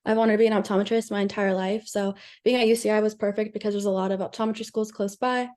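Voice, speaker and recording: A woman's voice: medium pitch, fairly even intonation, quick pace, a little mellow. A woman in her twenties from California, an optometry student, introducing herself in a straightforward way. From Sacramento, California. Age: 20s.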